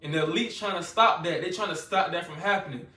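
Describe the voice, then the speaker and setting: Man, preacher, fervent, repetitive, bright edgy voice, distant mic. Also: high voice, fast. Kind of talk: scripture-reading sermon. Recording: echoey room, voice from a distance.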